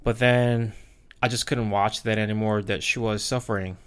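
A man with a Korean accent and native Korean, telling personal stories casually in a halting, reflective way, searching for words. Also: lowish voice, level tone, fairly bright tone, clear, resonant tone. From South Korea. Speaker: podcast host.

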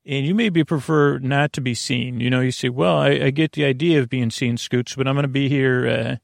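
A man with a gravelly, creaky voice at a middle pitch rambles soothingly as a bedtime podcast host. He halts, repeats syllables and drifts between phrases. The sound is dry, with music underneath. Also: steady tone, no room sound. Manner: halting, stammering, meandering.

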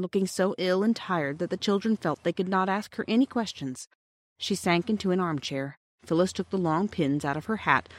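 A woman's voice: lowish voice, no room sound. A woman reading a children's story aloud as scripted audiobook narration.

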